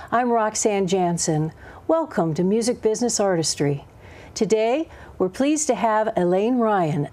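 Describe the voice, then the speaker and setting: A woman with a mellow, relaxed tone at a middling pitch hosts a conversational interview. She introduces the show and puts questions to her guest.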